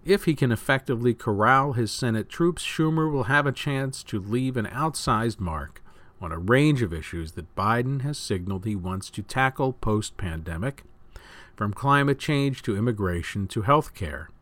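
A man reading scripted news as a host, with a measured, deliberate announcer delivery. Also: mid-range voice, lively intonation, a bit bright, dry recording.

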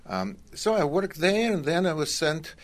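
A man's voice: on the high side, sing-song, moderate pace. An older man from Russia with a Russian accent, telling his story in a hesitant, conversational way with wry, dry humour. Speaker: college academic guest. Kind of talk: conversational autobiographical storytelling in an interview.

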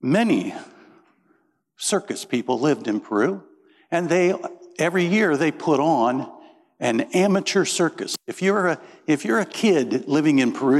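A middle-aged man from Indiana preaching a sermon as pastor, folksy and joking as he tells stories. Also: medium pitch. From Indiana, USA. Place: church. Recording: reverberant church sanctuary.

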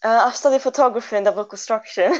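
A woman's voice: a little high, fast, muffled recording. A young woman from Italy, a guest photographer, chatting casually in an interview, hesitant with many fillers and giggles. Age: young adult.

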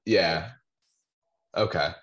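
Deep husky guy, easygoing, dull lo-fi sound. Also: drawn-out words, fairly bright tone. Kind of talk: casual conversation. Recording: muffled lo-fi sound.

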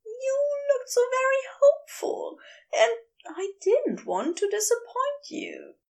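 Audio story role: prim, excitable man played by a woman, very high swooping voice, fussy and wordy. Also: slow, gravelly, full character voice. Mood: shy, polite. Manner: prim, wordy, fretful, exclaiming.

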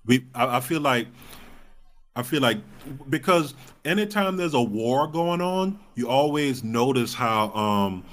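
Male podcast guest, thirties, average pitch, informal halting delivery, blunt conversational talk. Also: lively intonation, a bit bright. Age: 30s. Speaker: podcast guest, stand-up comic. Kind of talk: casual conversational interview.

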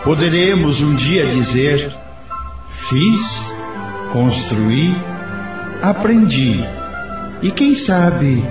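A man's voice: on the high side, lively intonation, extremely slow, pauses often. An actor playing a kindly, wise man in a Brazilian radio soap opera, with solemn, dramatic delivery. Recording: loud music over the voice.

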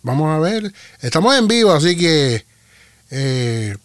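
Sing-song Puerto Rican radio host. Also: male voice, on the high side, sing-song, unhurried, dry recording. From Puerto Rico. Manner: rambling, sing-song delivery with restarts. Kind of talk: live radio talk.

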